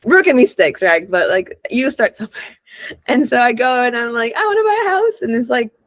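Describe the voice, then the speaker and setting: A young adult woman from Honduras chatting casually as an interview guest, informal and expressive, peppering her stories with "like". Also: on the high side, a little fast, dry recording. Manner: informal and expressive, peppered with "like", acts out quoted lines. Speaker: guest, real estate investor and entrepreneur.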